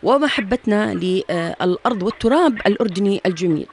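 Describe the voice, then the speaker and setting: A woman with a Jordanian accent hosting a radio interview, filling pauses with long drawn-out sounds and regularly affirming her guest. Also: medium pitch, expressive, a little mellow. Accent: Jordanian Arabic. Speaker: radio host.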